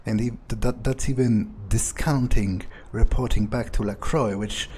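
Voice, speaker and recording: A man role-playing a sardonic male vampire who thinks aloud in a halting, musing way during a game playthrough. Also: mid-range voice, steady tone, a little mellow, a little hiss or hum, lightly acted. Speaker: gamer role-playing a character. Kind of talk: in-character improvised game playthrough monologue.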